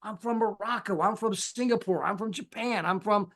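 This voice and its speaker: A man giving an energetic sales pitch on a live call, casual and folksy, acting out the people he quotes. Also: moderate pace. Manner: animated, acts out quoted people with big pitch jumps. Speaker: sales presenter.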